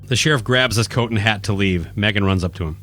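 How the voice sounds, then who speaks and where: A man's voice: fairly low pitch, fast, fairly bright tone. A man reading scripted dramatic narration for a radio play, with music behind him. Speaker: podcast host, narrator.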